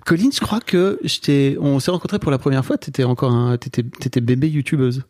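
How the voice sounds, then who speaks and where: A man hosts a podcast in a relaxed, mellow voice of middling pitch. He is from northern France and talks informally, with slang and a teasing streak. The sound is close and dry. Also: fairly quick, no echo. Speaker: podcast host. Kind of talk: casual interview chat.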